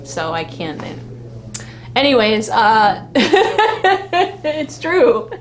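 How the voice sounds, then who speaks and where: A woman's voice: a little high, very animated, extremely slow, slight background noise. A woman co-hosting a casual live fan chat, speaking informally and bluntly and swearing freely. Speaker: fan vlog co-host.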